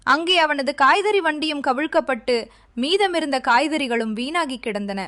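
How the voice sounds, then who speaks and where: A woman's voice: sits high, animated, moderate pace, a bit bright. A woman narrator reading a scripted moral story for a cartoon.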